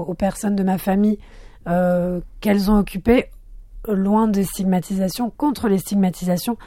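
A woman filmmaker born in France, whose first language was Arabic, answering interview questions thoughtfully, pausing and restarting as she searches for words. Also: medium pitch, warm tone, dry recording, studio quality.

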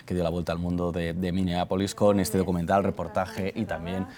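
A man's voice: sits low, little pitch movement, a little fast, a little mellow. A man presenting a live news program, linking reports and chatting with guests and correspondents. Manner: conversational, with filler tags. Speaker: news anchor.

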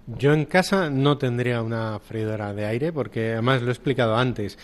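A man's voice: mid-range voice, normal speed. A man, a chef answering interview questions and searching a little for his words. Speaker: professional chef, guest.

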